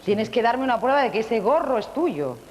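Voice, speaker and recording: A woman's voice: a little high, big pitch swings, moderate pace, darkish tone, slight background noise. A woman hosting a TV variety show, questioning guests with playful, mock-indignant bossiness. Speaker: TV show host. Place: TV studio.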